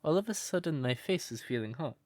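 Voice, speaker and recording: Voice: medium pitch, expressive, brisk, a little mellow, dry recording, close to an everyday voice. A performer voicing a shy, smitten crow turned human in an intimate comfort roleplay, hesitant and stammering, naively earnest. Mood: flustered, shy.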